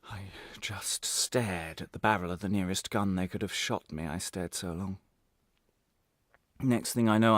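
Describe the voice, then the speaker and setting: Man playing imprisoned man, deep voice, defensive and wry, hesitant confessional storytelling, radio play, very dry sound. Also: fairly bright tone, no echo. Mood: indignant, then dazed, weary. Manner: halting, self-correcting, hesitant delivery with sudden emphasis. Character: imprisoned man bitterly recounting his arrest, defensive and wry. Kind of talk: dramatic radio monologue, confessional first-person narration.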